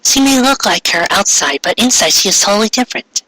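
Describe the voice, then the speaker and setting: Man putting on a very high schoolgirl voice. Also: expressive, fairly quick, bright, forceful tone, dry recording, heavily acted, low-quality recording. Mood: earnest. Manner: eager, dainty falsetto. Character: high-pitched polite earnest schoolgirl.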